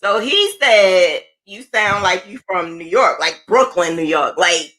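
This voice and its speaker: A woman from New Jersey chatting casually on a livestream, asking questions and laughing easily. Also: on the low side. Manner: lively, curious, quick to laugh. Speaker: livestream host.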